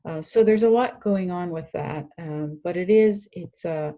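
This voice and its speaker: A woman giving an informal expert briefing on a panel, halting as she searches for words. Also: lowish voice, warm tone, muffled recording. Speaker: regional security expert on a panel.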